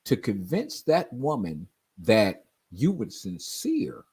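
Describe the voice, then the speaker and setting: A man's voice: fairly low pitch, huge pitch swings, slow pace, darkish tone, breathy edge. A man in his forties telling stories in casual chat, often asking "you know what I mean?". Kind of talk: casual chat on a live stream.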